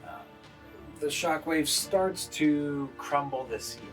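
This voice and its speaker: A man running a tabletop game as game master, narrating scenes off the cuff with halting pauses to think. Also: fairly high pitch, faint music behind, low-quality recording.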